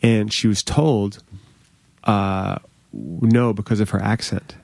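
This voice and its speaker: Curious, self-mocking podcast host. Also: male voice, lowish voice, takes it slowly, creaky, a little mellow, dry recording. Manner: curious, self-deprecating, lots of fillers. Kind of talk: casual podcast conversation.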